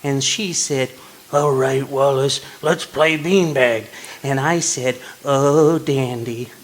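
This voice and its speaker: An actor playing a meek, cheerful henpecked husband in a polite, prim manner, in old-time radio comedy style. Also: male voice, medium pitch, slow, natural voice. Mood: innocently cheerful. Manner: polite, mild, prim delivery. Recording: live stage recording with background noise.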